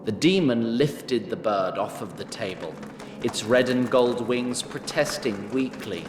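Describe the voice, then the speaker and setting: A man narrating a scripted fantasy story in a measured, dramatic manner with an occasional wry touch. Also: medium pitch, echoey room, in a large hall, noisy background.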